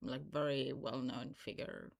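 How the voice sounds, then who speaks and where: A woman's voice: very deep voice, fairly even intonation, measured pace, gravelly, no echo, clean studio sound. A woman chatting casually and agreeably as a chess co-commentator. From Estonia.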